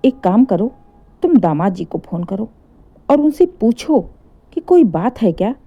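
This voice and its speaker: A woman's voice: medium pitch, sing-song, unhurried, lo-fi sound. A woman voicing a firm, protective older mother advising her married daughter in a family story. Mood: firm, concerned.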